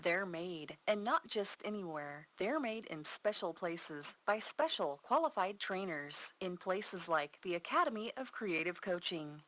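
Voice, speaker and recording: A woman reading a scripted radio commercial in a smooth, persuasive, upbeat way. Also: fairly low pitch, bright, forceful tone, low-quality recording. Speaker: commercial announcer.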